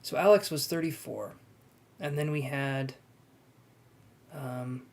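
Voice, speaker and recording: A young man reading tarot on a live stream, chatting and gently reassuring his viewers. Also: mid-range voice, slow pace, gravelly, a little hiss or hum. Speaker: tarot reader.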